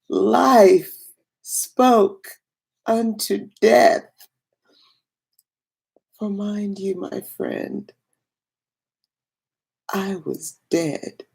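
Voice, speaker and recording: Woman reading as wistful biblical-era woman, low-pitched, breathy, hushed, reverent, dramatic storybook monologue. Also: exaggerated voice. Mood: reverent, intense. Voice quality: breathy, husky, often dropping to whispers. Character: Mary Magdalene, wistful, awestruck woman of biblical times. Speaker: reader.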